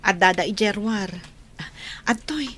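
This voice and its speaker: A woman's voice: mid-range voice, a little slow, fairly bright tone, a little hiss or hum, lightly acted. A woman playing a respectful, sympathetic village wife offering condolences in a radio drama. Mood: solemn, sympathetic.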